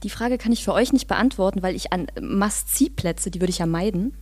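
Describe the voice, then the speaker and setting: A woman talking casually as an interview guest, often breaking off and restarting as she tells things in an animated way. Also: medium pitch, fairly quick, husky. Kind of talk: casual conversation.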